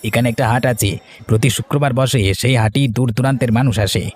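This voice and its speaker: An actor playing a deferential, practical business manager in a cartoon story. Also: male voice, medium pitch, steady tone, quick pace, natural voice.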